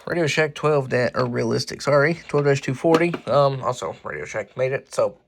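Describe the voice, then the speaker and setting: A man presenting his hobby collection in a casual, unscripted way, halting and often correcting himself as he goes. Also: medium pitch, animated, creaky, a little hiss or hum. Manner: halting, offhand, self-correcting. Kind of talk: casual unscripted show-and-tell.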